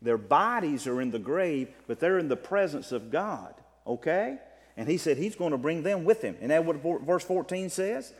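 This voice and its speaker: A man preaching a sermon, teaching from the Bible in an emphatic way that swings between loud and soft. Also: on the high side, expressive, moderate pace. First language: English. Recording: long reverb of a hall.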